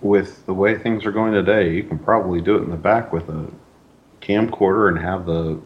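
Male writer as interview guest, deep voice, modest, earnest, conversational delivery. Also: moderate pace, highs cut off. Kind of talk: casual interview chat.

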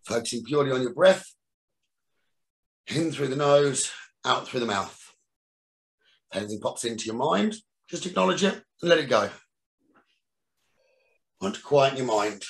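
A man leading a guided meditation as the teacher, giving soothing instructions in short phrases with pauses between them. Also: mid-range voice, animated, gravelly, fairly bright tone. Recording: roomy echo, distant from the mic.

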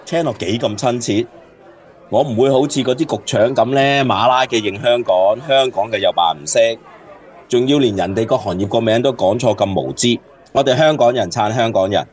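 A man's voice: medium pitch, normal speed, a little mellow, some chatter behind, close to an everyday voice. An actor playing a grumbling, lovelorn man drinking at a bar in a Cantonese radio drama, with a Hong Kong accent.

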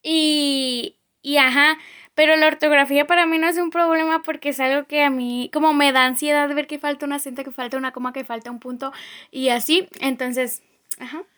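Young woman, casual chat with her sister, high and bright, kinda rambly. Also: fairly even intonation, brisk. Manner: rambling, self-correcting, laughing. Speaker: co-host, sister.

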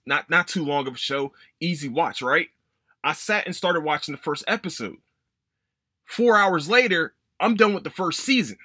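A man's voice: fairly high pitch, bright, forceful tone, dry recording, studio quality. A young adult man hosting a casual solo podcast, rambling, swearing and correcting himself as he goes. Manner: rambling, profane, self-correcting. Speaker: podcast host.

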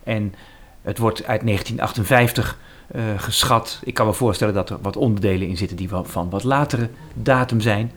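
Male musician interviewee, low voice, Netherlands Dutch, casual anecdotal talk, rephrases as he goes. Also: moderate pace, few pauses, slight background noise.

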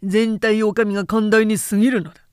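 A man's voice: high voice, little pitch movement, brisk, darkish tone, clean tone, no room sound, studio quality, lightly acted. A woman voicing arrogant young male samurai who talk with haughty contempt in old-fashioned warrior speech, in a period story. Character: arrogant, sneering young high-born samurai drinking with comrades. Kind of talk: literary period-story reading.

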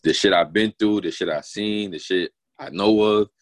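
A man, a rapper from Newburgh, New York, talking casually in an interview with a naturally deep voice, full of slang and "you know what I mean" fillers. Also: normal speed. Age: adult, not young. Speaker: rapper guest.

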